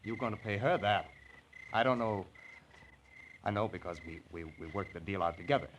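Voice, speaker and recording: An actor playing a desperate, menacing blackmailer in an old-time radio crime drama, pressing hard in a halting delivery. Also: male voice, fairly low pitch, a bit bright, slight background noise, lightly acted, low-quality recording. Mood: insistent. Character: insistent, desperate male blackmailer and killer, coldly menacing.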